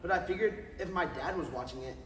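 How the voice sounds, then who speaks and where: A man's voice: fairly high pitch, fast, fairly bright tone, slight background noise, lightly acted. A young man playing an ashamed married addict in readers theatre, earnestly projecting his confession. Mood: regretful confession. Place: large carpeted conference room, far camera. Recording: echoey hall, distant microphone.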